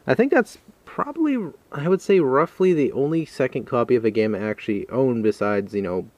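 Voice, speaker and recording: A man's voice: medium pitch, lively intonation, fairly quick, a little mellow, no echo. A man doing a casual, unscripted show-and-tell vlog in a rambling, hesitant, self-deprecating way. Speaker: video game collector, vlogger. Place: a room at home.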